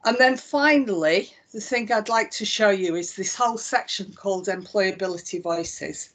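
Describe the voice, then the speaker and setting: A woman's voice: medium pitch, normal speed, a bit bright, rough recording. A woman with a Lancashire accent, a chief executive giving a live walkthrough of a website and explaining each click in a conversational way with frequent ums. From Northwest England. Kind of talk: live software demonstration.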